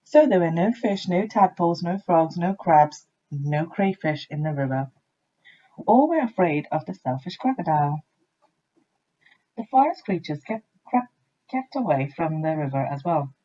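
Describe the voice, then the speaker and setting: Female picture-book reader: animated, expressive storytelling with a breathy, rough edge, on a somewhat dull lo-fi recording. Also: lowish voice, a little slow. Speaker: teacher reading a storybook aloud. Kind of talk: expressive children's story narration.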